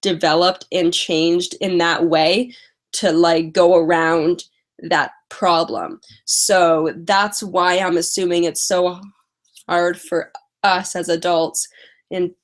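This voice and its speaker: Young woman who stutters, slow and low. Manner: stutters, repeating first sounds. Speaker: vlogger. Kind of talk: casual live stream chat.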